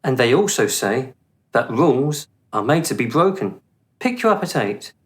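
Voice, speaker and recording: An actor playing a polite, hesitant gentleman courting a colleague in a radio play. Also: medium pitch, very animated, moderate pace, natural voice. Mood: gallant, playful.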